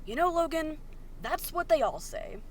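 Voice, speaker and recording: A woman's voice: high-pitched, expressive, normal speed, a little hiss or hum, pitched above the performer's own voice. A woman voicing a sarcastic, indignant young male apocalypse survivor in a story reading, accusatory and emphatic. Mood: accusing, indignant.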